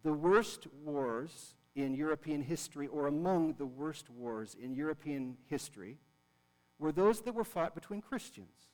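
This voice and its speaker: A man's voice: a little high, animated, measured pace. A man preaching a sermon to a congregation, earnest and repeating words for emphasis. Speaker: pastor. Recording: long reverb of a large hall.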